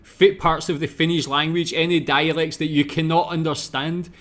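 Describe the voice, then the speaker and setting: Scottish man, Glasgow accent, relaxed reaction host who thinks aloud. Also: fairly high pitch, normal speed. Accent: Glaswegian Scottish. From Scotland. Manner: thinking aloud, asks viewers questions. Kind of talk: casual reaction commentary.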